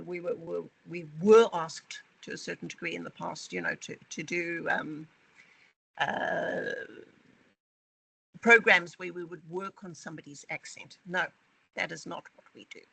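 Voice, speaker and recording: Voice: medium pitch, creaky, bright, forceful tone, dry recording, low-quality recording. An interviewee from a language training company talking in a corporate video, emphatic and often repeating words while finding the phrasing.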